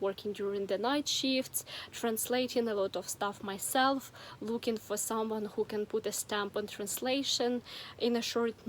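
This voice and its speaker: A woman's voice: on the high side, slight background noise, low-quality recording. A young woman from Ukraine telling her own story in a casual vlog, chatty, with many fillers and restarts. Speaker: vlogger.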